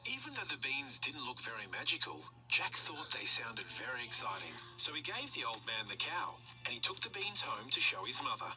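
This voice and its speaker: A man narrates a children's storybook with animated, widely varied intonation. His scripted reading plays over clearly audible music. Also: medium pitch, normal speed, few pauses, rough recording.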